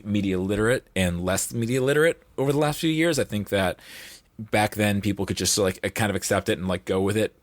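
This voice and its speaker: A man with a fairly low voice chats casually on a podcast. He rambles and thinks aloud as he goes. The sound is dry and close, with little room in it. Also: no echo. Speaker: audio drama producer, guest.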